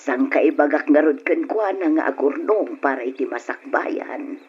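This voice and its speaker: An actress playing a proud, doting older mother in a radio drama, unhurried and fond, with a rough husky voice. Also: low voice, heavily acted. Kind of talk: Ilocano radio drama acting. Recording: muffled old radio drama recording.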